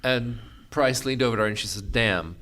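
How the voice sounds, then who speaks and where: A man's voice: medium pitch, brisk, sharp tone. A man hosting an interview as a company director, chatty and fond of telling anecdotes. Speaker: host and company general director.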